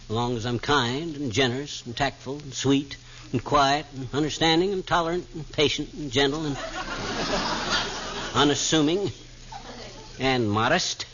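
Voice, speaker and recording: An actor playing a bumbling, boastful middle-aged husband with blustery, folksy patter in old radio comedy style. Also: male voice, mid-range voice, moderate pace, long pauses, natural voice. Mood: self-pleased, bustling. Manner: blustery, folksy, mock-learned. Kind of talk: 1940s radio comedy acting. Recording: noisy, muffled vintage radio recording.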